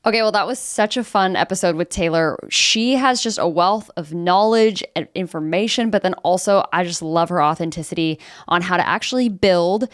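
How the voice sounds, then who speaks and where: A woman's voice: lowish voice, normal speed, few pauses, no room sound, clean studio sound. An American woman co-hosting a podcast, addressing listeners in an enthusiastic, encouraging, conversational manner. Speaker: podcast co-host.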